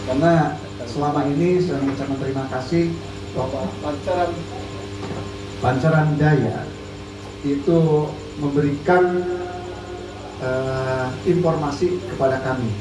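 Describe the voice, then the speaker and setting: A man's voice: on the high side, steady tone, measured pace, pauses often. A man from West Java giving an informal, chatty speech to a community radio gathering as an army district officer, peppering his talk with fillers. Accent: Indonesian, not typically Sundanese. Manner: chatty, frequent "ya kan" and "nah" fillers. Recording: echoey room, distant mic, music behind.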